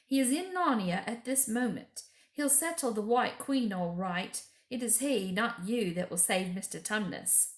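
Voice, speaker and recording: A woman's voice: medium pitch, animated, unhurried, hardly any pauses, reverberant, pitched above the performer's own voice. A woman voicing an earnest, reverent male talking beaver from a children's storybook, with an emphatic, folksy manner.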